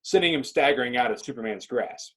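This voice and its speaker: A man with a husky voice reading stage directions as dramatic scripted narration. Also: mid-range voice, animated, fairly quick, a bit bright, rough recording.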